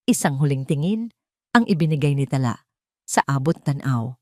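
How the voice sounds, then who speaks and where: A woman's voice: lowish voice, a little mellow, no echo, clean studio sound. A woman with a Filipino accent narrating a scripted, dramatic audio drama story.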